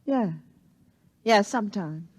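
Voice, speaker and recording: A woman's voice: medium pitch, expressive, takes it slowly, darkish tone, dry recording. An actress playing a wary, slangy bar girl in 1950s radio drama, guarded and streetwise. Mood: nervous, reluctant. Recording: vintage 1950s radio recording, music underneath.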